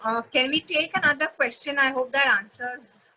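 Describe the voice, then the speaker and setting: A woman's voice: high voice, moderate pace, sharp tone, highs cut off, a little hiss or hum. A woman hosting an online session and reading out audience questions. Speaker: session host.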